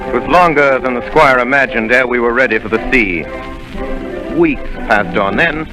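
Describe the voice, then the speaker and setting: A man playing a grown man who looks back on his boyhood adventure, narrating an old radio play in a reflective, storytelling way. Orchestral music sits clearly beneath his mid-pitched voice. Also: normal speed, lightly acted, poor audio. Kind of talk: 1930s radio drama narration.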